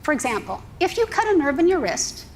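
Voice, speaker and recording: A middle-aged woman from Canada, a scientist pitching her research in a clear, explanatory presentation. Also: very animated, a little fast, slight background noise.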